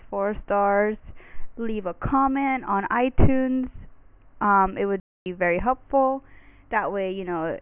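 Woman podcast host, mid-pitched voice, chatty, casual, giggly, phone-like audio. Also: clear, resonant tone, no room sound. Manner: chatty, giggly, lots of likes.

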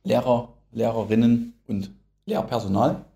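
A man's voice: medium pitch, steady tone, a little slow, vocal fry, mellow. A man co-hosting a casual podcast, teasing his partner and playing with words. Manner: teasing, playful wordplay. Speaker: podcast co-host. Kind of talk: casual chat. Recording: echoey room.

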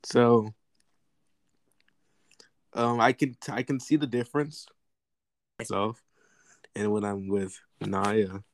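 A man's voice: lowish voice, little pitch movement, slow, long pauses, no room sound. A young man co-hosting a casual sibling podcast, teasing and needling his sister in a mock-serious way. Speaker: podcast co-host. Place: apart from his co-host, remote recording.

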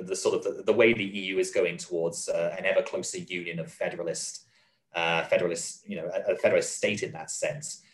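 A man explaining policy in an interview, earnest and measured, often restarting and repeating phrases. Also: low voice. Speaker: guest, advocacy spokesman.

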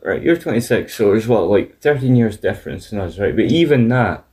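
A man's voice: on the low side, expressive, brisk, warm tone. A man in his late thirties, chatting casually as a podcast co-host, with teasing banter and some swearing. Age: 30s. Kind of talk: casual chat.

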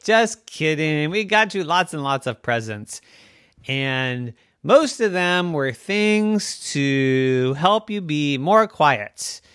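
Man doing storybook family, cheerful, teasing, lively up-and-down voice, dry close sound. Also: fairly high pitch, slow pace, clean tone, dry recording.

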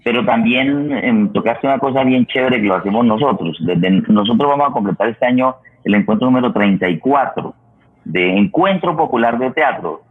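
A Colombian man hosting a conversational interview, speaking reflectively and haltingly as he searches for words. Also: mid-range voice, animated, breathy edge. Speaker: radio host and theater practitioner. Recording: thin phone-like line.

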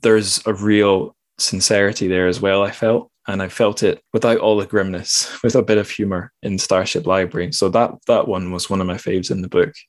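A man's voice: sits low, fairly even intonation, normal speed, few pauses, dry recording. A man hosting a podcast, discussing books casually in informal, self-interrupting phrases. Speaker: podcast host.

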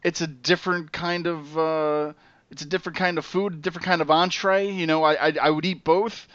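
A man hosting a casual podcast, with a voice a little higher than usual. He rambles and hedges, full of fillers and restarts. The audio is dry and close, with a dull, lo-fi tone. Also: fairly even intonation, brisk, fairly bright tone, smooth, dry recording. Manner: rambling, hesitant, lots of fillers. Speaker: podcast host.

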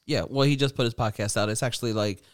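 A young man co-hosting a podcast, chatting casually with crude, self-mocking, deadpan teasing. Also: mid-range voice, fast, dry recording. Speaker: podcast co-host. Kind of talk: casual banter.

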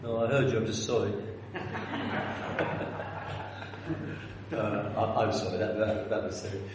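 Londoner preaching in an echoey church. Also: male voice, on the low side, slow, background noise. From North London, England. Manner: conversational and self-deprecating, with rhetorical questions. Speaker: visiting preacher. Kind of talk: sermon. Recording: echoey church hall with long reverb.

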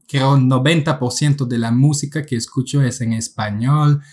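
A man's voice: medium pitch, steady tone, moderate pace, a little mellow. A man from Quebec in his late thirties, a native French speaker with a Quebec French accent, chatting as a guest and often repeating words as he searches for them. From Quebec, Canada. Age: late 30s. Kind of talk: casual interview chat.

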